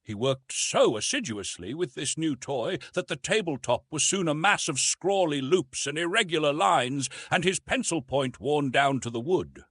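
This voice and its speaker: A man narrating a literary audiobook in an expressive, measured storytelling manner with dramatic emphasis. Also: on the high side, husky, no echo.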